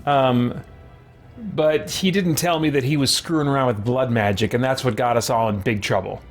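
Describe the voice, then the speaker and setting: A man's voice: mid-range voice, moderate pace, soft background music. A man giving casual, informal commentary as the host of a video game playthrough. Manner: chatty, informal. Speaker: let's play host.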